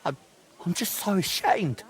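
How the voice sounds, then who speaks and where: An actor playing a middle-aged, washed-up drunken master craftsman in a video game, halting, grumbling and self-pitying, with a gravelly, husky voice. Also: sits high, big pitch swings, fairly bright tone, heavily acted. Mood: ashamed, pleading. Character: ashamed washed-up drunken old master clockmaker, grumbling and reluctant. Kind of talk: video game drama acting.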